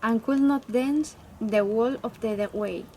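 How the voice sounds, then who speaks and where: A woman reads verse aloud slowly and hesitantly. Her voice sits a bit high, with faint ambient noise behind it. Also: darkish tone.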